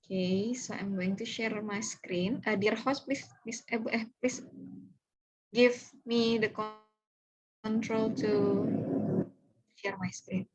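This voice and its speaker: A woman's voice: medium pitch, fairly even intonation, takes it slowly, long pauses, breathy edge, low-quality recording. A woman, earnestly walking listeners through slides in an online club presentation over a video call. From Indonesia. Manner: earnest, welcoming, talks through slides step by step.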